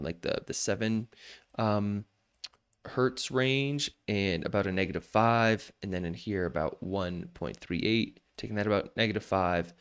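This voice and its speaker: A man teaching vocal mixing in a casual, loose tutorial from a home studio, often restarting his sentences as he explains. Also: on the low side. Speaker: mixing instructor. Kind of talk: casual screen-share tutorial.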